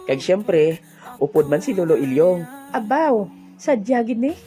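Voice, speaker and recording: A woman's voice: mid-range voice, sing-song, unhurried, mellow, soft background music. A woman playing a cheerful village sweetheart in a comic audio drama, with a Hiligaynon accent. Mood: playful, affectionate.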